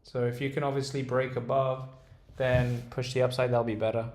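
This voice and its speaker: A man's voice: medium pitch, flat delivery, normal speed, darkish tone. A young man with a British accent, casually talking through stock charts on a live stream and answering chat, hesitant with many ums and restarts. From Dubai. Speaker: day trader streamer. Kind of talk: casual livestream chart commentary, reading chat. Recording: roomy echo.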